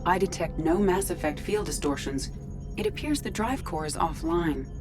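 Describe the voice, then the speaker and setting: Casting: composed female ship AI for a game, slightly low, precise, detached, over strong music. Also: sing-song, normal speed. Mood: detached, factual. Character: composed, analytical female ship computer intelligence. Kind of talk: video game acting. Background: loud music.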